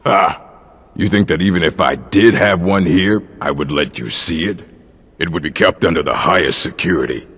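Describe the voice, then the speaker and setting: Gravelly old orc librarian. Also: male voice, low-pitched, moderate pace, fairly bright tone, heavily acted. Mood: wary. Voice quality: gravelly, husky. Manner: dry, weary, curt. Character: gruff older male orc librarian, dry and guarded. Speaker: game character. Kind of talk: video game voice acting. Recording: long hall reverb.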